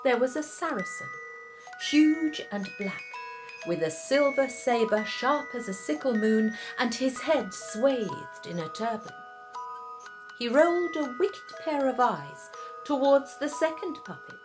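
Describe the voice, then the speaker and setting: A woman reading a children's tale as a storyteller, in dramatic, expressive narration with deliberate phrasing and pauses. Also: sits high, music in the background.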